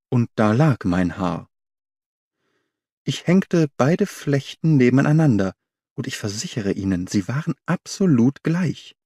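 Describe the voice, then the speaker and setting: A man reading the part of an observant young governess who recounts a mystery, in measured, precise audiobook narration. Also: mid-range voice, mellow, breathy edge, dry recording, studio quality, exaggerated voice. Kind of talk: first-person audiobook narration.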